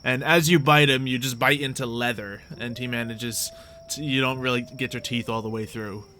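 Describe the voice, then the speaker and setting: A man casually narrating and refereeing a tabletop roleplaying game as game master, improvising the story in an animated way. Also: mid-range voice, moderate pace, a little hiss or hum.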